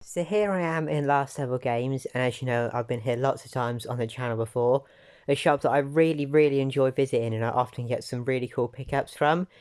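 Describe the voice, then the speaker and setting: A man talks through a game-hunting vlog in a mid-range voice that sounds croaky from a sore throat. He is chatty and keen, and he often doubles his words for emphasis. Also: medium pitch, fairly quick, a little mellow. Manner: chatty and enthusiastic, repeats "really, really". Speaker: retro game collector vlogger. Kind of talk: casual vlog narration.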